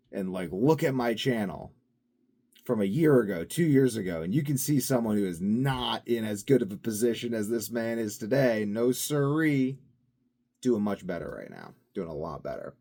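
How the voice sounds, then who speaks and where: A young man talking casually to camera, wandering from thought to thought and swinging between loud bursts and mumbled asides. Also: on the low side. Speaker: vlogger. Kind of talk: casual rambling monologue.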